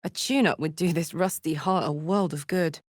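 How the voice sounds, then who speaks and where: A woman's voice: deep voice, normal speed, dry recording, clean studio sound. An actress playing a bold, hearty young female soldier in a video game, brash and slangy with a playful streak. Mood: earnest. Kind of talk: expressive video game voice acting.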